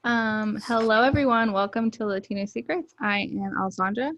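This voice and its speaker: A woman's voice: fairly high pitch, normal speed, a bit bright, dull audio. A young woman from the United States hosting a podcast, chatty and casual, laughing easily. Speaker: podcast host. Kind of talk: casual conversation.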